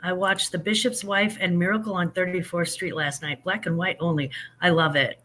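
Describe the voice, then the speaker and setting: A woman's voice: on the low side, fairly even intonation, normal speed, a bit bright. An older woman, a grandmother, hosting a casual livestream, chatting in a rambling, self-deprecating way while answering viewers, a little stuffy from allergies. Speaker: livestream host.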